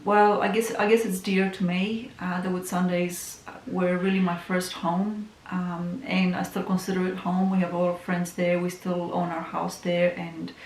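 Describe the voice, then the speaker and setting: A woman from Romania with a Romanian accent, a tarot reader introducing herself in a casual, soft, musing way with lots of ums. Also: fairly low pitch. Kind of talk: casual self-introduction vlog. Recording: echoey room with faint hiss.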